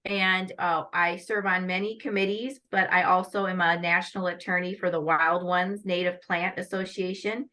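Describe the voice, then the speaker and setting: A woman's voice: fairly low pitch, steady tone, moderate pace, hardly any pauses, pushed and bright. A middle-aged woman from the American Midwest being interviewed, answering in a poised, articulate way and listing her work with enthusiasm. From Northwest Iowa, USA. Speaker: guest, lawyer and pageant titleholder.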